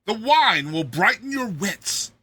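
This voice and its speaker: A man's voice: very animated, slow pace, pushed and bright, breathy edge, no room sound, heavily acted. A man voicing a cruel, mocking, boisterous tyrant king in a theatrical, roaring storybook reading. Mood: commanding, jovial. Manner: booming, theatrical, roaring, imperious.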